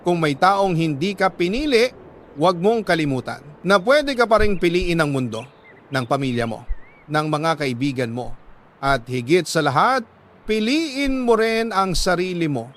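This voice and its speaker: A Filipino man reading a scripted, sentimental first-person love story in an earnest, deliberate way, like a radio storyteller. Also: sits high, lively intonation, fairly bright tone. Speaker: radio-style storyteller reading a listener's letter.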